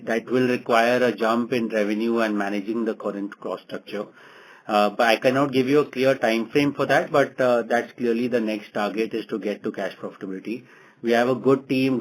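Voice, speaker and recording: A man answering as a company executive on an earnings call in a measured, halting manner. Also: medium pitch, rough recording.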